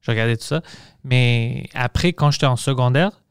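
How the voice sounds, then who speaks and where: Podcast host, man, smooth and resonant voice, dry close mic, rambling restarts. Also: medium pitch, normal speed, dry recording. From Montreal, Quebec. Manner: loose and chatty, frequent restarts and English slips. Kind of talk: casual conversational chat.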